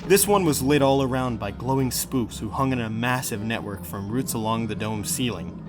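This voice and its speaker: A man narrates an adventure audiobook in a mid-pitched voice. His delivery is scripted and dramatic, with clear music running behind him. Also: normal speed.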